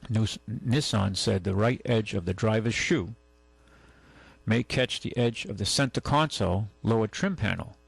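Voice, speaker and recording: A man hosting a call-in radio show, talking casually and haltingly, rambling as he goes and reading out items. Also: lowish voice, creaky, breathy edge, no echo. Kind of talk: casual talk radio, reading news and chatting. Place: radio studio. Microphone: close studio microphone.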